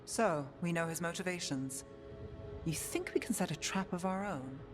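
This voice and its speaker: Casting: actress as cynical, wry fantasy companion, very low female voice, game dialogue over clear music. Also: normal speed, natural voice. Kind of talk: dramatic video game dialogue. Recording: music behind the voice.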